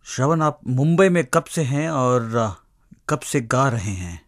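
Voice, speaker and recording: A man's voice: mid-range voice, lively intonation, takes it slowly. A man putting questions to a guest in a radio interview.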